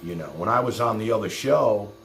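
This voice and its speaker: Male streamer, streetwise and colloquial, lively intonation with a gravelly edge. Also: fairly low pitch, brisk. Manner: colloquial, streetwise, direct address. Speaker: live stream show host. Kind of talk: casual live stream chat, taking calls. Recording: roomy, noisy live stream.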